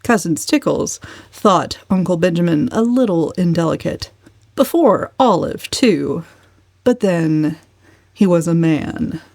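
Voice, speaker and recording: A woman's voice: on the low side, expressive, slow, gravelly, darkish tone, no echo, clean studio sound. A woman narrating a novel as a scripted dramatic audiobook reading.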